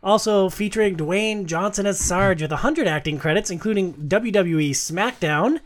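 A man's voice: sits high, normal speed. Casual man hosting a podcast. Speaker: podcast host. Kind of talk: casual chat with read-out movie reviews.

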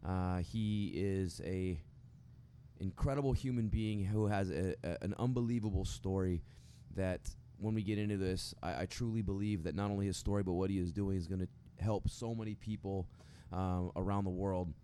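A man hosting a casual podcast interview, speaking haltingly and repeating words as he goes. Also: deep voice, warm tone. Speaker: podcast host. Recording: clear background noise.

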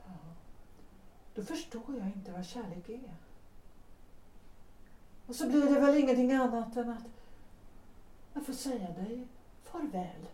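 Picture an actress voicing an intense, pleading married woman in a Swedish audio drama. Her tone is mellow and dark, and she sounds set back from the mic in a roomy space. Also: a little high, animated, long pauses, distant mic, lightly acted. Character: unhappily married woman pleading with young lover.

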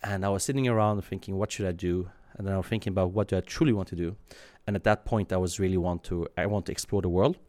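A man in his thirties from Sweden talks in a deep, low voice. He answers in a thoughtful, halting way, full of fillers and restarts. The sound is close and dry. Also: steady tone, darkish tone, no echo. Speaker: guest. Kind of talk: interview answers.

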